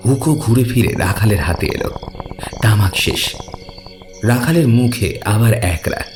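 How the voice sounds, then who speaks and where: Very deep, lively male storyteller. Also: unhurried, music in the background. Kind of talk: scripted narration of a horror audio story.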